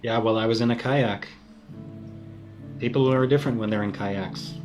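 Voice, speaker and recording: A man acts out a self-important boyfriend in an improvised comedy scene. He is deadpan yet defensive and halting, in a medium-pitched voice. Music plays behind him. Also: natural voice. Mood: awkward, resigned.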